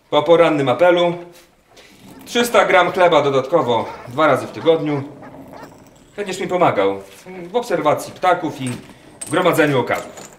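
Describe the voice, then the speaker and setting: An actor in a radio play voicing a condescending German camp officer who collects birds, halting and musing between commands. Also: male voice, fairly high pitch, a little hiss or hum, close to an everyday voice. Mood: commanding. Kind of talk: radio drama acting. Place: wooden barn, live performance. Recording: roomy barn sound with music behind. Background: music.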